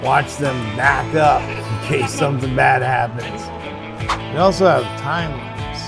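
A man's voice: mid-range voice, measured pace, creaky, over loud music. A man in his thirties, a sawmill owner, casually narrating his own footage from a small office in a chatty, self-deprecating way. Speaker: sawmill business owner and vlogger. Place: small wood-paneled office.

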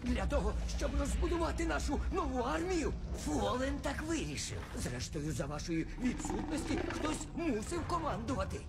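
A scheming robot lieutenant, grovels in a high voice. It is thick with creak, and loud film music plays behind. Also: male voice, sing-song, normal speed, hardly any pauses, heavily acted. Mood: servile. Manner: servile, raspy.

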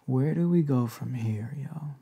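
Soft, very gravelly young man. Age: young adult. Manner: slow, hesitant, tender, low-key while lying down. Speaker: ASMR roleplay performer. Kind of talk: intimate ASMR boyfriend roleplay, soft-spoken. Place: indoors, lying on a bed in a bedroom.

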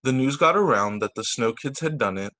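A man, a teacher, reading children's stories aloud over a video call in an expressive, sing-song storytelling way. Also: medium pitch, brisk. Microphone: video call microphone.

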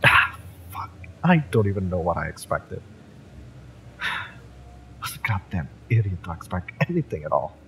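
A man playing a fiery, wounded mercenary in a romance audio roleplay, bitter but lovesick, speaking emotionally and haltingly with sighs and drops into whispers. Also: sits low, very animated, pushed and bright, a little hiss or hum, heavily acted, poor audio. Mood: bitter, defeated.

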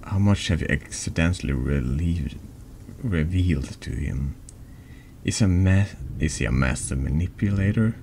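A man reading a horror text game aloud as a dramatic narration, slightly halting. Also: sits low, animated, gravelly, darkish tone. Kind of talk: slow dramatic horror narration, ASMR-lite. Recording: noticeable background noise.

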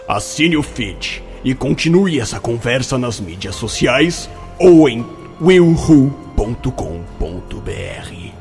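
A man's voice: mid-range voice, very animated, slow, gravelly, a little mellow, soft background music. A man with a Brazilian accent reading a scripted podcast outro as announcer.

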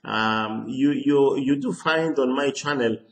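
An older man with a Greek accent explains things slowly, pausing often and restarting his phrases. His voice sits in an echoey small room, picked up by a close webcam. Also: mid-range voice. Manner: slow, hesitant, many ums and restarts. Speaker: harmonica teacher. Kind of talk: casual lesson to camera. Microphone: webcam at close range.

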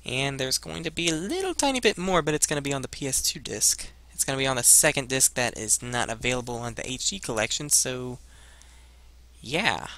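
A young man giving casual, halting gameplay commentary as a let's play host, rambling and muttering to himself into a headset. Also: medium pitch, lively intonation, bright, forceful tone. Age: young adult.

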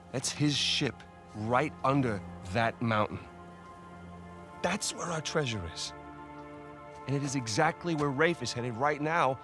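An American male treasure hunter played by a male actor, driven and stubborn, in a video game cutscene. He argues urgently in a mid-pitched voice, pushing his case and sometimes stammering, with music playing underneath. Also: lightly acted. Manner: urgent, insistent, stammering when pushed.

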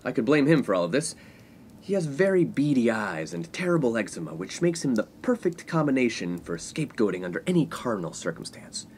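A man's voice: medium pitch, big pitch swings, a little fast, darkish tone, slight background noise, natural voice. An actor playing a smug, spoiled, lecherous 1950s college man in a comedic radio play, talking in a pompous, mock-philosophical way. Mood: scheming, musing. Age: young adult.

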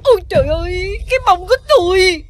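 A woman playing a sharp-tongued, theatrical village aunt who nags in an animated folk tale. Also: very high-pitched, fast, no room sound. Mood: wailing. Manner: theatrical, nagging, exclamatory. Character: scolding, sharp-tongued village aunt, secretly soft-hearted.

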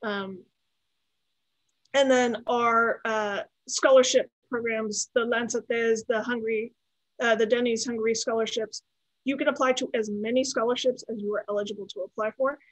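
A woman's voice: on the high side, fairly even intonation, moderate pace, no room sound, low-quality recording. A woman, a native Spanish speaker, answering student questions on a video call in an encouraging way, often adding "right?". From United States. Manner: encouraging, frequent "right?" tags. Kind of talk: webinar Q&A talk.

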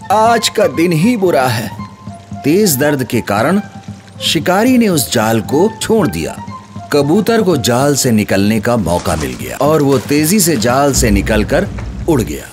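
A man narrating a scripted children's moral story in an expressive storytelling manner. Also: mid-range voice, slow. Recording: music under the voice.

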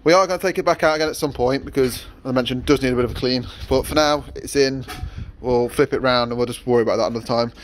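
A man's voice: mid-range voice, quick pace, hardly any pauses. Guy, young adult, casual workshop vlog, handheld camera mic, faint background noise. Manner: rambling, many fillers and restarts. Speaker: vlogger, workshop owner. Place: outdoor yard and workshop.

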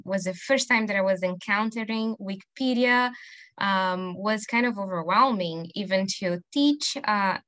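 A woman from Brazil in her thirties, whose colours her English, presents to an online audience over a video call. She speaks in a friendly way, pausing often on fillers and restarting phrases. Her voice sits at a middle pitch and sounds close and dry, with no room echo. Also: fairly bright tone. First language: Portuguese. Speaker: workshop presenter.

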